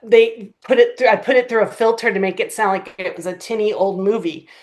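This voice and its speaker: A woman's voice: mid-range voice, brisk, darkish tone. A woman chatting casually as a podcast guest, explaining her production work in an informal, anecdotal way. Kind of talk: casual chat.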